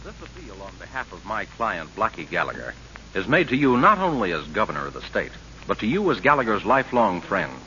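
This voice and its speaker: Deep, oratorical defense lawyer. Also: male voice, huge pitch swings, pushed and bright, full character voice. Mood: grave appeal. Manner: oratorical, deliberate. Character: grave, formal defense lawyer appealing for mercy. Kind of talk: old-time American radio drama acting. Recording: muffled, noisy vintage radio recording.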